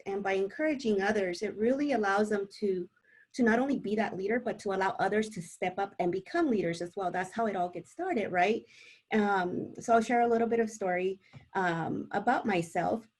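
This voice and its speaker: A woman's voice: medium pitch, a little mellow. A woman from El Salvador, a native Spanish speaker, talking informally as a panelist and sharing personal experience. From born in El Salvador.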